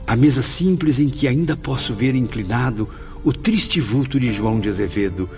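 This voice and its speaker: A man's voice: medium pitch, animated, normal speed, a little mellow, faint music behind, lightly acted. An actor playing a melancholy, guilt-ridden male spirit in a radio drama, with solemn, literary Brazilian diction. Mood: wistful.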